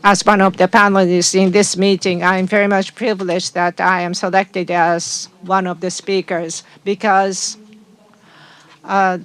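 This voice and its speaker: A woman working as a conference interpreter, rendering a speech into English in a halting way with frequent fillers. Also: fairly low pitch, level tone, a bit bright, clean tone.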